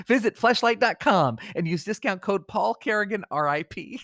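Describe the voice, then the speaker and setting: A man with a fairly high voice co-hosts a comedy podcast. He trades banter and reads aloud with mock solemnity. The sound is close and dry, with very little room in it. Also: expressive, moderate pace, fairly bright tone, no room sound.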